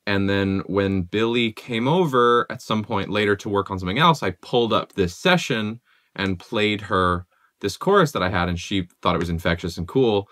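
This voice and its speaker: Low-voiced young man, dry and close. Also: moderate pace, no room sound. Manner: relaxed, conversational, many "like" fillers. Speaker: music producer. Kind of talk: explaining a song's production. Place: home music studio with guitars and keyboards.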